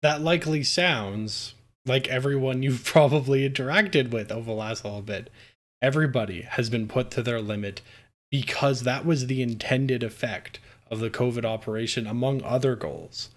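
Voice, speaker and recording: Male news commentator, earnest and emphatic delivery, mid-pitched voice. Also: moderate pace. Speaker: host commentator.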